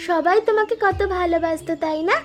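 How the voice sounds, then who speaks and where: A performer voicing a cheeky, clingy little schoolgirl in an animated story. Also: extremely high pitch, a little fast. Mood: playful, pouting. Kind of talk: animated family story dialogue.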